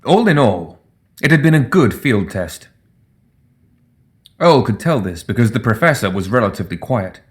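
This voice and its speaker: A man narrates a piece of fantasy fiction. His pitch is in the middle range for a male voice. The delivery is scripted storytelling, as in an audiobook. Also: big pitch swings, moderate pace, long pauses.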